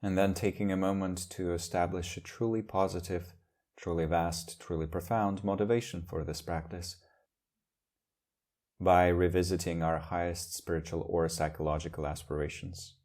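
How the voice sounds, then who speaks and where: A man leading a guided meditation as a teacher, speaking in gentle, measured phrases with long pauses. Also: low voice, steady tone.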